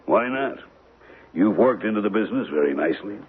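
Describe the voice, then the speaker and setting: An actor playing a fatherly, cautious old diamond dealer in a radio drama, speaking in a measured, kindly way. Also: lowish voice, lively intonation, slightly rough. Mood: businesslike. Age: older. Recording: tinny, narrow-band radio broadcast.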